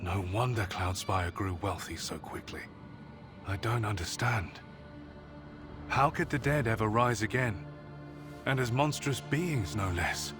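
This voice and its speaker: An actor playing a grave, curious gentleman investigator in a video game, musing in a measured, formal way. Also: on the low side, close to an everyday voice. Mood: grave, intrigued. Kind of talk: video game cutscene acting. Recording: music behind the voice.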